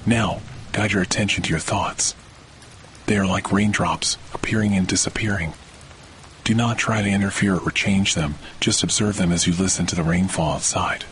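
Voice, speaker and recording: Man, deep voice, slow soothing meditation guide, long pauses, soft rain and thunder behind. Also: slight background noise, low-quality recording. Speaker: podcast host, meditation guide. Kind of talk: guided sleep meditation, scripted narration. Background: rainfall with thunder.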